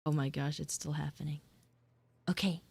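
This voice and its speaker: A woman's voice: sits low, fairly even intonation, normal speed, gravelly, a little mellow, husky, no echo. A woman livestreamer talking casually and expressively to her viewers in informal, exclamatory storytelling. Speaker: VTuber livestream host. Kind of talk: live roleplay chat with viewers, casual storytelling.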